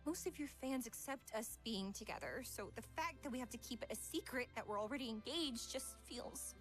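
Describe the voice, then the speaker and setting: A woman's voice: on the high side, animated, fairly quick, soft background music, natural voice. A woman voicing a refined, affectionate young psychologist in a visual novel game, with genteel, careful phrasing. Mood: troubled, earnest.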